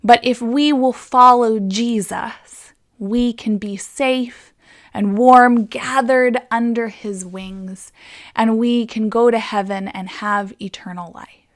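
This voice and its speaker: A woman presenting a gentle, explanatory devotional talk for children. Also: a little high, fairly even intonation, slow. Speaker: children's story presenter at church.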